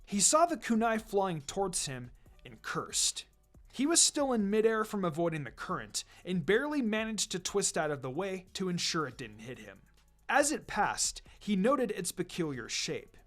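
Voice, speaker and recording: A man's voice: high voice, very animated, normal speed, fairly bright tone, faint music behind. A man reading an adventure story aloud as narrator, in a dramatic scripted delivery. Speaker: narrator, fan fiction reader.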